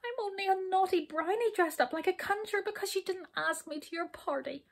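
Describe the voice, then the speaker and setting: A woman's voice: lively intonation, moderate pace. A woman voicing a small, naughty male brownie in a children's story, timid, plaintive and tearful. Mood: frightened, pleading. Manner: plaintive, wailing, high-pitched pleading.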